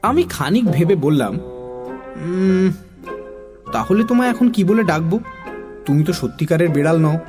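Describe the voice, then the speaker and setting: Male narrator for a children's audio story: high voice, very animated intonation, expressive and puzzled in dialogue, music behind. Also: moderate pace, long pauses.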